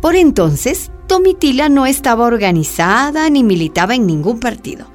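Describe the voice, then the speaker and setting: High-voiced woman narrator. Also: big pitch swings, normal speed, faint music behind. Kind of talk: scripted radio drama narration.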